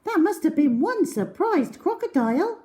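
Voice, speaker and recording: A very high, girlish storybook voice put on by a woman reader. The young girl sounds anxious and easily startled, exclaiming with big, swooping pitch. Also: sing-song, normal speed, warm tone. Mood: surprised, impressed. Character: worried, frightened young girl without her glasses.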